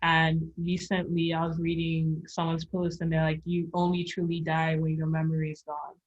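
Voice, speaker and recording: A young woman from Nigeria, an artist speaking reflectively in an interview, pausing often to search for words. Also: low voice, completely flat delivery, highs cut off. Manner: thoughtful, searching, with pauses and fillers. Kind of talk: reflective conversation.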